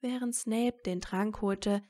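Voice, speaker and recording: A woman narrates a piece of fan fiction as an audio story. Her voice sits at a medium pitch, and she reads from a script as the storyteller. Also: fairly even intonation, slow pace, dark tone.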